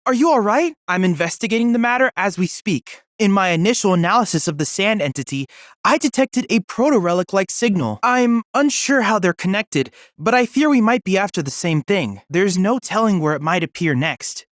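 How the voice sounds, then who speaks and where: An actor plays an earnest young android boy who works as a researcher in a video game. He speaks in precise, formal, analytical sentences with polite eagerness. His mid-pitched voice moves up and down in an animated way, and it is recorded very dry. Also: moderate pace, dry recording, natural voice. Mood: concerned, analytical. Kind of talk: video game character voice lines.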